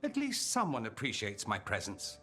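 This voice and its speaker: A man's voice: lowish voice, wildly swooping pitch, moderate pace, sharp tone, full character voice. A man playing a suave, witty, self-assured mage in a fantasy game, with a dry, sardonic, theatrical delivery. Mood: wry, playful. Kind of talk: dramatic game dialogue. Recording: reverberant hall, music underneath.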